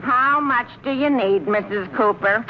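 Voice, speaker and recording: Actress as skeptical housemaid, dry delivery, old radio comedy, muffled with clear hiss. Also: female voice, a little high, unhurried, natural voice. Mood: dry, wary. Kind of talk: 1940s-50s radio situation comedy acting.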